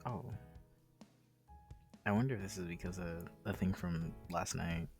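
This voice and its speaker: Casual male co-host, lowish voice, reading visual novel narration with informal interjections, music faintly behind. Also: measured pace, darkish tone. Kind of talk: casual read-aloud with side commentary.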